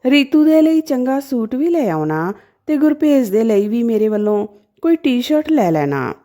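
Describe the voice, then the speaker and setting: A woman's voice: a little high, very animated, moderate pace, dark tone. A woman voicing a generous, respectful, slightly embarrassed young nephew in an audio story. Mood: apologetic, generous.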